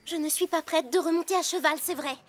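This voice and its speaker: A woman's voice: very high voice, quick pace, over loud music. A woman playing a stubborn, sarcastic young girl in a French cartoon dub, blunt and pouty. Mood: sulky.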